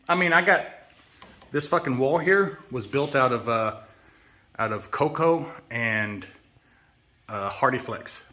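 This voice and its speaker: A man's voice: fairly high pitch, pushed and bright. An American man talking in a rambling, casual walk-and-talk vlog, swearing often in slangy, easygoing speech. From United States. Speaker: vlogger.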